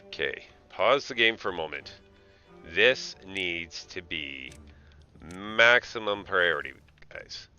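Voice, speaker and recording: Male game streamer, very low, forceful bright voice, muttering and playful, slightly dull audio with music underneath. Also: expressive, slow, clean tone. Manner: mutters to himself, playful asides to game characters. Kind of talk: casual live gameplay commentary, thinking aloud.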